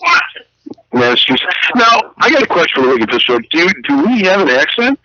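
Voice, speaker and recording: Male co-host, bright voice with lively swings, teasing and quick to laugh; muffled audio. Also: high voice. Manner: joking, teasing, laughs often. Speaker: podcast co-host. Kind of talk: casual chat.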